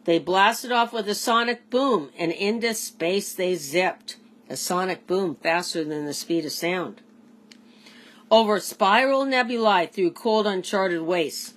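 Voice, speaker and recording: A woman, a teacher, reading aloud to children in an expressive storybook style. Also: mid-range voice, slow pace.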